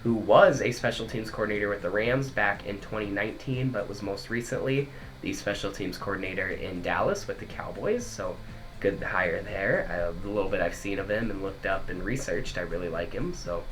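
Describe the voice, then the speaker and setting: Deep-voiced young man with a head cold. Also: normal speed, a bit bright, faint music behind, poor audio. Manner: chatty, runs through news items. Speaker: podcast co-host. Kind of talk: casual sports podcast chat.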